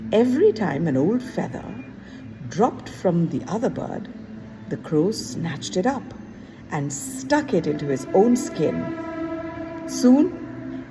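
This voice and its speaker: Woman teacher, lowish voice, expressive children's read-aloud narration. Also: background noise. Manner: expressive, slow storybook reading with lively pitch. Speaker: teacher reading to a class.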